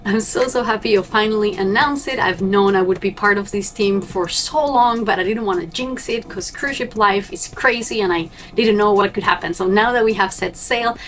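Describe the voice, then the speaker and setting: A woman's voice: mid-range voice, moderate pace, few pauses, a bit bright. A young woman from Argentina, a native Spanish speaker, chatting upbeat and direct to her viewers as a cruise ship crew member vlogging. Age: young adult. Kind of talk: casual vlog to camera.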